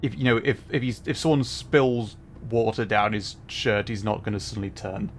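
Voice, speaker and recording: A man streaming as a virtual character, giving relaxed, rambling commentary while he plays and answers chat. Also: on the low side, normal speed, slight background noise. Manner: relaxed, rambling, chuckles often. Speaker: VTuber streamer. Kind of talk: casual gameplay commentary.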